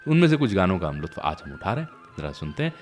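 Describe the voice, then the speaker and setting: A man's voice: low-pitched, very animated, rapid-fire, soft background music. A man hosting a radio show, telling stories in a chatty, conversational way.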